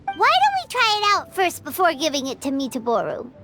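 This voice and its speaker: A woman voicing a tiny, curious, childlike fairy companion in a video game, with a squeaky voice and a cute, earnest manner. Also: huge pitch swings, brisk. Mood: curious, doubtful. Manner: cute, earnest, refers to herself by name. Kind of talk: video game character acting. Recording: loud game music behind the voice.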